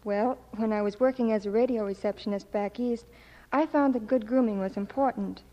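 A woman's voice: fairly high pitch, fairly quick. A young woman from Ohio, a guest starlet giving a scripted 1940s radio interview and testimonial. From United States, Ohio. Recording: dull, reverberant old radio broadcast.

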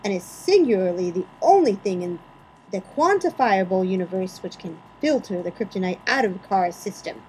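A woman's voice: mid-range voice, expressive, normal speed, a little mellow, slight background noise, low-quality recording. A woman co-hosting a podcast, running segments and reading a recap in a mock-dramatic, theatrical way. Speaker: podcast co-host.

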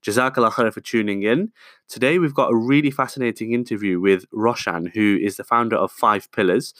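A man with a fairly low voice opens a podcast episode, upbeat and smooth as he reads through his introduction. The sound is dry and close, with no room around it. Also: a little fast, a bit bright, no room sound. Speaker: podcast host.

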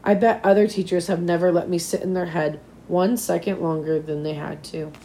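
Slightly hoarse woman reading a story aloud. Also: lowish voice, steady tone, fairly quick, warm tone, slight background noise, poor audio. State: slightly hoarse, recovering from nearly losing voice. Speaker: teacher reading a novel aloud to fifth graders. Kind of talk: read-aloud storytelling of a children's novel.

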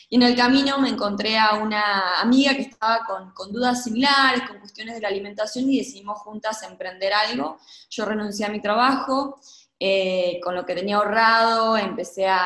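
A woman in her thirties with an Argentine accent, chatting reflectively and a little haltingly as an interview guest. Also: mid-range voice, fairly bright tone. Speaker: podcast guest, urban farming project co-founder. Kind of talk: casual conversational interview. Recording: roomy, echoing sound.

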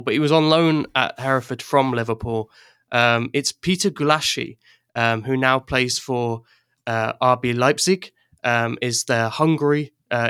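Chatty man with a smooth voice. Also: mid-range voice, fairly bright tone, dry recording, studio quality. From England. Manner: chatty, laughs often, rambling with restarts. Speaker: podcast guest. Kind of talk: casual chat.

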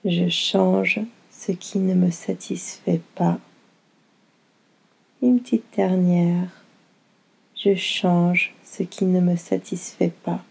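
A woman guiding a yoga nidra meditation in a gentle, unhurried manner, giving soothing instructions in short phrases with long pauses. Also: on the low side, steady tone, vocal fry, breathy edge, poor audio.

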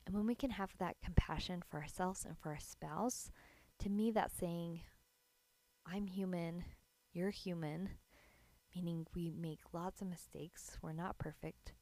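Woman podcast host, low gravelly morning voice, earnest and encouraging, dry close mic. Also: a little slow, mellow, no echo. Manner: earnest, encouraging, laughs lightly. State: raspy early-morning voice. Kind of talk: casual solo podcast talk.

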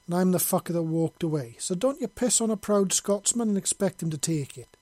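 A man's voice: a little high, normal speed, warm tone, no echo, lightly acted. A man voicing an older Scottish ex-soldier with a Scottish accent, blustering and swearing defiantly in a storybook reading. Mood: indignant. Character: older Scottish male ex-soldier, brash and profane.

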